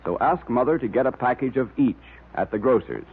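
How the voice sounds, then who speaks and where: A man announcing in vintage radio style, emphatic and salesmanlike. His delivery is very animated, with a rough, husky edge. The recording is tinny and band-limited. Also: mid-range voice, fairly quick. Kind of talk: 1940s radio advertising and scripted narration.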